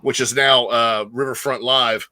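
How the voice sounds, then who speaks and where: Male co-host for casual music talk: forceful, close and dry sound, halting but keen fan delivery. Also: medium pitch, fairly even intonation, no echo. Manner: enthusiastic, halting, slangy. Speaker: guest co-host, metal fan.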